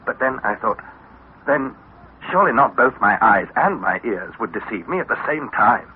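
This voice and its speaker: An actor playing an eager young English nephew, narrating and speaking dramatically in the style of 1960s radio adventure. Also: male voice, medium pitch, normal speed, lightly acted. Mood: wondering. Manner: dramatic, articulate, excitable. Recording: tinny, noisy vintage radio recording.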